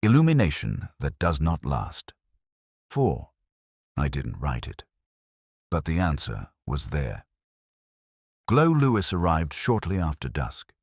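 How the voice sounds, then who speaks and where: A man narrating a scripted first-person detective story as a weary, introspective investigator, in measured, brooding, literary short phrases. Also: very deep voice, wildly swooping pitch, breathy edge, no room sound, clean studio sound.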